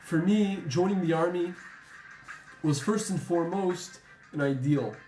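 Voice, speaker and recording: A man's voice: high voice, mellow, faint music behind. Reflective young man in a roomy space. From United States. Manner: measured, reflective, with frequent ums. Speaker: former soldier interviewee. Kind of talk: earnest interview testimony.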